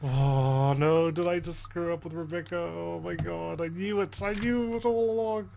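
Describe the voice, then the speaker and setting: A man's voice: fairly high pitch, steady tone, moderate pace, vocal fry, dark tone, a little hiss or hum. A man hosting a let's play, reading game text aloud dramatically and swearing as he reacts.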